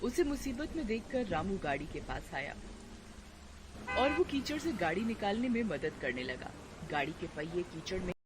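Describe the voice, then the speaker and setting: A woman's voice: mid-range voice, very animated, moderate pace. A woman narrating a cartoon story in a scripted storytelling manner. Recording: noisy background.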